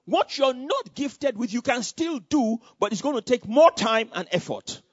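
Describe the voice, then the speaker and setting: A Nigerian man preaching, telling a personal testimony in an animated storytelling way with repetitions. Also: very high voice, measured pace, husky, no echo. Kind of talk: sermon testimony. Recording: dull lo-fi sound.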